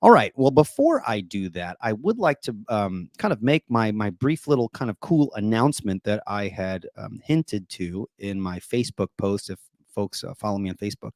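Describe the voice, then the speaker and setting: A middle-aged man hosting a casual live stream, talking softly and deliberately quiet, rambling with plenty of fillers. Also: on the low side, animated, dry recording, studio quality. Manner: soft-spoken, deliberately quiet to save his voice. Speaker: host of apologetics live stream.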